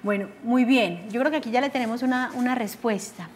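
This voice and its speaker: A woman's voice: a little high, a little fast, slight background noise. A woman hosting a call-in television programme. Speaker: TV host.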